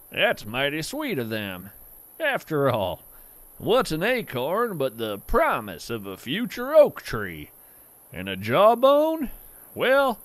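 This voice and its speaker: A man playing a folksy, kindly, eccentric cryptid naturalist in an audio fiction podcast, telling things in a whimsical, gentle way. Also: fairly high pitch, dry recording, heavily acted. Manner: whimsical, gentle storytelling with drawn-out phrases. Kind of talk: scripted audio fiction monologue.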